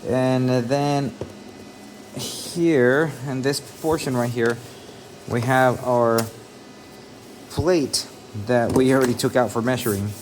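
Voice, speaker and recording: A man's voice: mid-range voice, steady tone, slow pace, long pauses. Male installer, mid-range voice, informal and explanatory, talking viewers through a job with light work-site noise behind. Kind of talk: casual on-site walkthrough. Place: work site, partly outdoors. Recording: noisy job-site ambience, vehicle noise.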